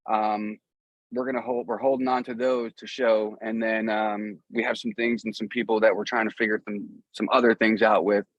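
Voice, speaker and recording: A man's voice: on the low side, flat delivery, moderate pace, vocal fry, dry recording, muffled recording. A man speaking casually and informally as a musician guest in an interview, rambling a little as he goes.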